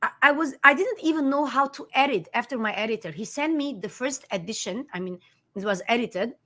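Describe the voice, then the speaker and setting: Bright, emphatic Armenian-speaking woman. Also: on the high side, brisk. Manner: earnest, emphatic, many restarts. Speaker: author and life coach. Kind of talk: conversational interview.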